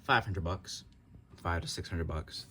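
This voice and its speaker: A man's voice: sits low, a little slow, bright, forceful tone, slight background noise. A man giving an unscripted, casual walkthrough of a technical setup, rambling and often trailing off with filler phrases. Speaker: tech vlogger demonstrating an office phone system.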